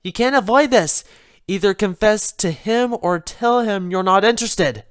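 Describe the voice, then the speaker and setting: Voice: mid-range voice, normal speed, clean tone, no echo, studio quality, pitched above the performer's own voice, natural voice. Narrator doing bossy girl pony in a storybook, slightly raised voice, blunt and fed up. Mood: exasperated. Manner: blunt, impatient. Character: bossy, exasperated young female pony, blunt friend.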